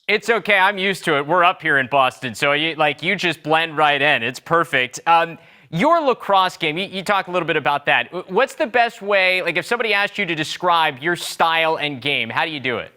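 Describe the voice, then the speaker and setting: Bright, smooth-voiced male sports show host. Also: on the high side, animated, brisk. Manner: upbeat, conversational questioning. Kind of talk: sports talk show hosting.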